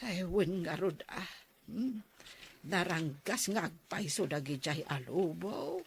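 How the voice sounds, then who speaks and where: A woman playing a concerned older village mother with a husky voice in a Filipino audio drama. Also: on the low side, animated, takes it slowly, slight background noise, heavily acted.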